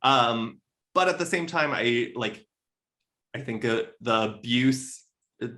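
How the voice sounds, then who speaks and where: A man speaking as a podcast guest, discussing fiction casually in an emphatic, halting way. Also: mid-range voice, bright, forceful tone. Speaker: podcast guest, literary editor. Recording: roomy, echoey.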